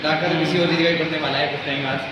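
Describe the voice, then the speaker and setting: A young college student vlogging with friends on campus, joking and talking to viewers, with a slightly sore throat. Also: male voice, fairly high pitch, little pitch movement, very fast. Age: young adult. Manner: joking, playful, addresses viewers. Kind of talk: casual vlog banter with friends. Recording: noisy outdoor camera audio.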